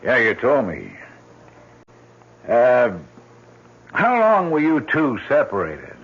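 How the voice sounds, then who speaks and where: An actor playing a weary, matter-of-fact police lieutenant in a radio drama, blunt and skeptical, with hesitant pauses. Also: male voice, mid-range voice, huge pitch swings, full character voice. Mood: probing. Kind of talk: 1970s-style radio drama acting. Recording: dull lo-fi old radio broadcast.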